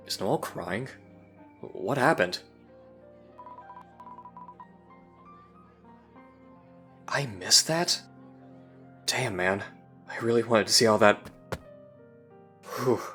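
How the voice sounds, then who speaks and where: A man playing a moody human teenager in an audio roleplay, talking in a casual, halting, slangy way. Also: low voice, sharp tone, full character voice. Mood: dazed, agitated. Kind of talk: audio roleplay acting, conversational.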